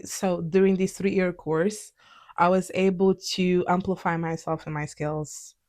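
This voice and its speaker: A woman's voice: on the low side, steady tone, measured pace, a little mellow. A young woman with a Portuguese accent, a native Portuguese speaker, talking candidly in a conversational, reflective personal vlog. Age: young adult. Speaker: vlog host.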